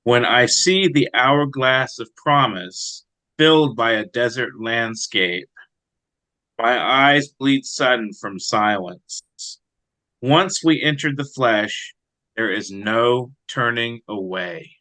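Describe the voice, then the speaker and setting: A man speaking as a poet in an interview, reflective and measured in his manner. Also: mid-range voice, sharp tone, poor audio. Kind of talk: interview answers and poetry reading.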